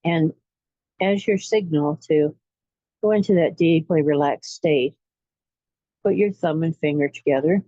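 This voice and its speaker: A woman leading a guided relaxation meditation in a soothing, unhurried way, pausing between short phrases. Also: deep voice, mellow, dry recording, muffled recording. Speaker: guided imagery facilitator.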